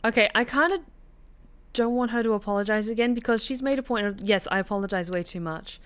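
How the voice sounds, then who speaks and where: Fairly high-voiced woman, chatty game streamer. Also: fast talker. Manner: chatty, informal, swearing. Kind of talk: casual reaction commentary on a visual novel.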